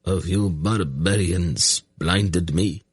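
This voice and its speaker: A man's voice: low voice, fairly even intonation, a little slow, slightly rough, dry recording. A defiant storybook prisoner acted by a male reader, full of contempt for his captors. He delivers his lines with a sneer, in a close, dry recording. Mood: weak, defiant.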